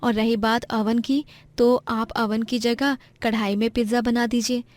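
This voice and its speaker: A woman's voice: on the high side, flat delivery, measured pace, a little mellow, no echo, close to an everyday voice. A woman playing a supportive, reassuring wife and mother, encouraging and respectful, in a moral story.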